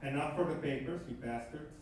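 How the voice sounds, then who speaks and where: A man's voice: on the high side, a little fast. Venezuelan-accented man, echoey club stage. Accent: Venezuelan Spanish. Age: 30s. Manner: animated, shouting punchlines. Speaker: stand-up comedian. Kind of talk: stand-up comedy set. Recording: echoey club from far off, noisy.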